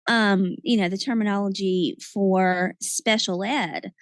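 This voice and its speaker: A woman's voice: medium pitch, steady tone, takes it slowly, no room sound, studio quality. A woman in her forties co-hosting a podcast, talking casually in an emphatic, playful way and often repeating herself. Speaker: podcast co-host. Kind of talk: casual chat.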